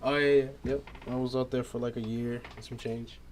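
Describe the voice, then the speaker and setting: A man's voice: medium pitch, level tone, fairly quick, slight background noise. A young man from Massachusetts, a guest singer chatting casually in a laid-back way with lots of filler words. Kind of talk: casual chat.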